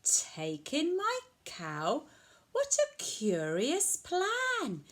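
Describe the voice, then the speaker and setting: Woman acting a flustered, high-pitched little old lady. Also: wildly swooping pitch, a bit bright, full character voice. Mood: puzzled, incredulous. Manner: exclaiming, drawn-out rhythmic rhyme. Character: flustered little old lady, dismayed and pleading, in a picture-book story.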